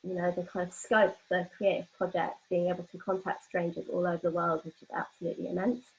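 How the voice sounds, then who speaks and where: A young woman leading an informal online workshop, explaining things in a hesitant way with pauses mid-phrase. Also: on the low side, little pitch movement. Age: young adult. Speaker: workshop leader. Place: online video call from home. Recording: dull lo-fi online call audio.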